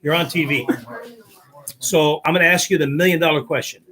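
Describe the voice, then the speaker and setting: Upbeat hoarse-voiced man hosting. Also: fairly high pitch, steady tone, fairly quick, pushed and bright. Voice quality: slightly hoarse, losing his voice. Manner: upbeat, encouraging. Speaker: show host. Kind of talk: casual live show chat.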